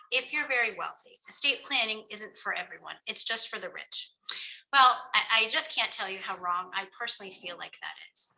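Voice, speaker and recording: A woman's voice: a little high, pushed and bright, low-quality recording. A woman from Florida, an estate planning lawyer earnestly explaining a webinar with frequent ums. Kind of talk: webinar presentation.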